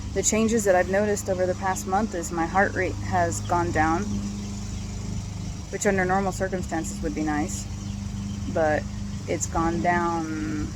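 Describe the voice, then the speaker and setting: Hoarse woman, lowish voice, halting casual vlog delivery, outdoor traffic noise in the recording. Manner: halting, with frequent ums and restarts.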